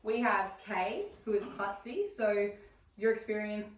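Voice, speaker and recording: A woman instructs a small group in an encouraging, affirming manner. Her voice sits at a medium pitch and is picked up at some distance in an echoey room, with faint noise around it. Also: animated, unhurried. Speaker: first aid trainer.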